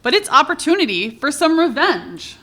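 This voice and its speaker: A woman playing a blunt, sarcastic partner in crime in a radio play. Her voice is high and bright and leaps around with lively swings in pitch. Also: moderate pace, heavily acted. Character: sharp-tongued sister and partner Jess.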